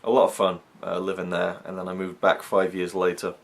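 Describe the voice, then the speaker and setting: Brief: young man from Wales, deep voice, rambling chatty vlogger. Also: completely flat delivery, a little fast, gravelly. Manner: rambling, chatty, many fillers. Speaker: YouTube reviewer. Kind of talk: casual chat to camera.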